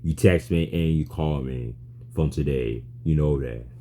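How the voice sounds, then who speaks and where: Very deep, dark-voiced halting man. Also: a little hiss or hum. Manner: halting, repetitive, non-fluent phrasing. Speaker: chatbot user. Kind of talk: casual spoken chat.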